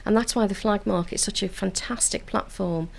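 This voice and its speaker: A woman's voice: mid-range voice, brisk, vocal fry. A woman with a Liverpool accent, speaking in an earnest, enthusiastic way in a radio studio interview about community work. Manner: earnest, enthusiastic, with frequent filler restarts. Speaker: council community engagement officer.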